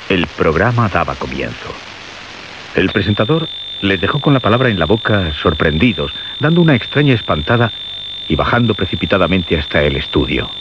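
A man's voice: low voice, background noise. A man narrating a suspense radio drama as a grave storyteller. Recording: dull radio recording under loud music.

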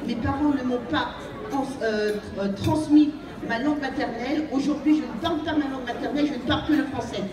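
A woman's voice: high voice, a little fast. A woman from France giving an earnest, exhorting speech to an audience in a banquet hall, repeating her phrases for emphasis. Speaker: guest speaker. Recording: echoey hall, distant mic, crowd noise.

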